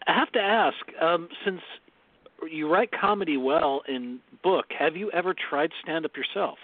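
A man's voice: fairly high pitch, moderate pace. A man co-hosting an interview, curious and encouraging as he asks the guest questions. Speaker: co-host. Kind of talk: casual interview. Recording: tinny phone-line sound, dry.

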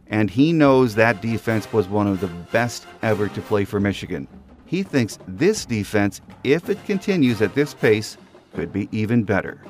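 Low-pitched man hosting a sports podcast. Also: no echo, clean studio sound. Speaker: podcast host. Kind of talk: scripted sports podcast narration.

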